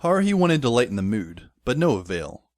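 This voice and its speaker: A man's voice: medium pitch, very animated, brisk, darkish tone, no echo, natural voice. A man playing a wry, weary teenage schoolboy who narrates an audio drama in a dry, sardonic way. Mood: wry narration. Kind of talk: first-person scripted audio drama narration. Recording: music under the voice.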